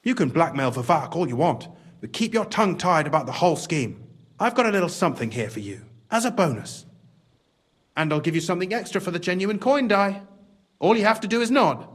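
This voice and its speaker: A scheming, excitable game official with a high voice that swings dramatically. He talks in wordy, exclamatory bursts with a conspiratorial, pragmatic air. The tone is husky, over faint music. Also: brisk. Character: cunning, excitable scheming male mine official, smugly pragmatic. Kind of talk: video game cutscene acting.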